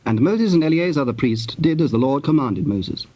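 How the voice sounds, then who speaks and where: A man narrating scripture from a script in a formal, measured, declamatory manner. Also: a little high, lively intonation, mellow, lo-fi sound.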